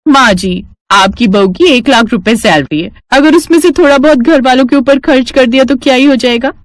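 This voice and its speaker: A woman's voice: high-pitched, normal speed, dry recording, pitched above the performer's own voice, close to an everyday voice. A woman voicing a smug, boastful young working daughter-in-law in a story, sugary to elders and condescending. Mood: sweetly boastful.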